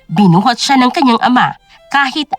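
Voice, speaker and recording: A woman's voice: fairly high pitch, lively intonation, moderate pace, no room sound, soft background music. A woman with a Filipino accent narrating a scripted story in a dramatic style.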